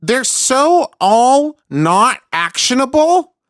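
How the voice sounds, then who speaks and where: A woman's voice: high voice, very animated, very slow, no echo, studio quality. A woman co-hosting a podcast, giving casual commentary in an emphatic, exasperated way. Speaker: podcast co-host.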